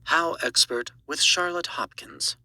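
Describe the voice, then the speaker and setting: A man's voice: on the high side, expressive, measured pace, pushed and bright, dry recording. A man reading scripted promotional audiobook narration as an audition.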